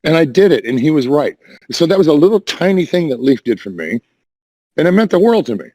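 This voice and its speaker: Hoarse man rambling on a voice call. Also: on the high side, very animated, a little fast, dark tone, no room sound. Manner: rambling, defensive, repeats and restarts. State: hoarse, says voice is damaged. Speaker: Discord voice chat caller. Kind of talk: rambling casual chat.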